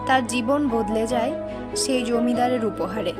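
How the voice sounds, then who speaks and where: A woman's voice: fairly high pitch, moderate pace. A woman reading scripted storybook narration as the narrator of a moral tale. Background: faint music.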